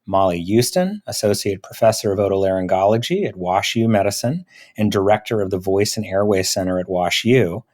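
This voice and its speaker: A man's voice: lowish voice, lively intonation, fairly quick, a little mellow. A man speaking as a podcast host, conducting an interview with medical guests. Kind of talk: interview hosting.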